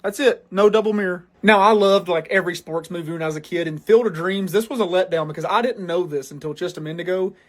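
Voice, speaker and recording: A man's voice: high voice, quick pace, hardly any pauses, no echo. A man with a Southern US accent hosting a casual list video, talking emphatically to viewers and repeating phrases to drive points home. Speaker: host of a pop culture video show.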